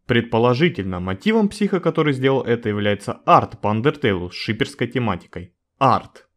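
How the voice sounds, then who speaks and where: A man's voice: mid-range voice, sing-song, a little fast. A man giving a scripted video narration in a wry, joking, informal way, with some swearing.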